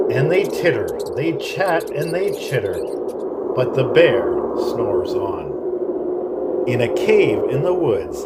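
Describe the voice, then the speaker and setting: A man teacher reads a children's picture book aloud, stretching his words in a sing-song storytelling way. His pitch rises and falls a great deal and his pace is very slow. A faint background hiss sits under the voice.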